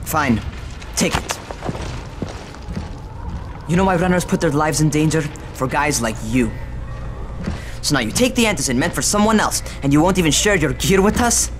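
A man's voice: on the high side, close to an everyday voice. Actor doing a prickly young guy survivor in a video game, bossy n sarcastic, music playing behind him. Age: young adult. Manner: confrontational, sarcastic, foul-mouthed. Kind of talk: dramatic video game acting.

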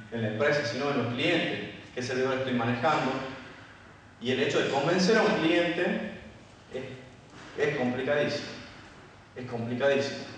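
A man with an Argentine Spanish accent gives an informal technical talk as a conference speaker, explaining colloquially and posing rhetorical questions. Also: mid-range voice. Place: dim lecture room. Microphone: handheld microphone, picked up by distant camera. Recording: echoey hall from far off, faint noise.

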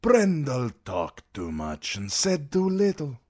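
A man voicing a swaggering, drawn-out sellsword captain. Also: mid-range voice, wildly swooping pitch, darkish tone, voice pushed up, exaggerated voice. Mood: boastful.

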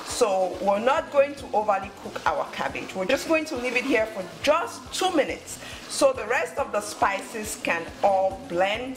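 A woman hosting a cooking show, giving step-by-step instructions in short phrases. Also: mid-range voice. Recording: music under the voice.

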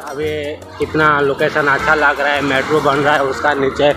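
A man's voice: fairly high pitch, completely flat delivery, normal speed, over music. A young man narrating a casual vlog while riding a bicycle on a busy city street outdoors, filming with a phone at arm's length and repeatedly pointing things out to viewers. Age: young adult. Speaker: vlogger. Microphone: phone camera held at arm's length. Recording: loud street traffic noise. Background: loud traffic noise.

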